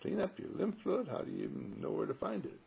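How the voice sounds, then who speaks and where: A man speaking as a spiritual teacher in a husky, gravelly voice, posing rhetorical questions and repeating phrases for emphasis. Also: high voice, sing-song, quick pace, darkish tone, muffled recording. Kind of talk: spiritual talk with parables.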